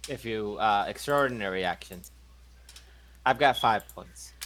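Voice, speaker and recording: A man's voice: fairly low pitch, a little slow, a bit bright, slight background noise. A man in a tabletop role-playing game, chatting casually and unhurriedly.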